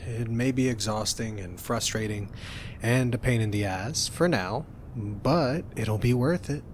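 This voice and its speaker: A young man doing an ASMR roleplay as a roommate, talking softly in a teasing, reassuring way. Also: medium pitch, unhurried, slight background noise.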